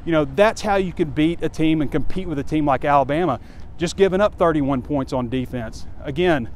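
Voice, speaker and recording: A man's voice: a little high, a little fast, darkish tone. A man in his forties giving candid, rambling sports commentary while walking outdoors, recorded on a handheld phone. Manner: candid, conversational, rambling with fillers. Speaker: sports site publisher. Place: outdoors on a campus street near a stadium. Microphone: phone camera held close while walking. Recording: faint outdoor street noise.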